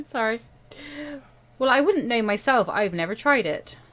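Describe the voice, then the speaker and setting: Woman, higher-pitched voice, animated swings, game playthrough narration, casual playful asides. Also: slow. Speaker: let's play host. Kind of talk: reading a visual novel aloud with casual asides.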